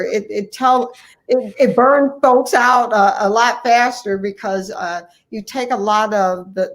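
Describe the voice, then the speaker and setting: A woman family physician giving conversational interview answers in an earnest, emphatic way, repeating phrases for emphasis. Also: medium pitch. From United States, Ohio. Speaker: family physician, guest speaker.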